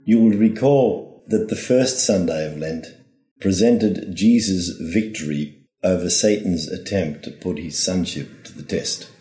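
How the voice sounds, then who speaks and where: A man speaking as a priest, delivering a formal, measured homily in deliberate phrases. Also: low voice, mellow. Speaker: priest giving a reflection. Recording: roomy, echoing sound.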